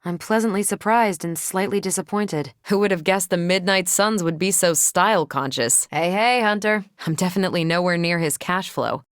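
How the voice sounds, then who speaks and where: A woman's voice: on the low side, normal speed, few pauses, clean tone, no room sound, studio quality, close to an everyday voice. A woman playing a self-assured, wry superheroine and space pilot with an American accent, in conversational video game lines. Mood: wry teasing.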